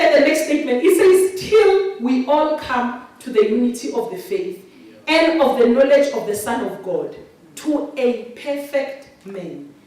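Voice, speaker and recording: A South African woman pastor preaching a sermon in an animated, sing-song way. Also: sits high. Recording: very echoey, distant mic.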